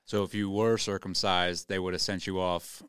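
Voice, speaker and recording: A man's voice: sits low, level tone, normal speed, a bit bright, smooth, no echo, studio quality. A man co-hosting a podcast, chatting casually with blunt, jokey asides and follow-up questions. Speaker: podcast co-host. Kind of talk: casual chat.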